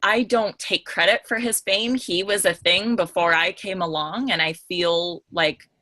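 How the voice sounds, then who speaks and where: A woman's voice: fairly low pitch, fairly even intonation, a little slow, sharp tone, no room sound. A woman chatting casually and candidly as a podcast panelist, with an emphatic, informal and gushing way of talking. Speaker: podcast panelist and book editor.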